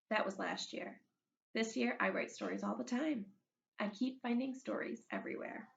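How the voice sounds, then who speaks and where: A woman's voice: a little high, unhurried, creaky, dull audio, a little hiss or hum. A woman voicing an earnest young schoolboy telling his own story expressively, in a storybook read-aloud for children. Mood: content. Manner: expressive, dramatic.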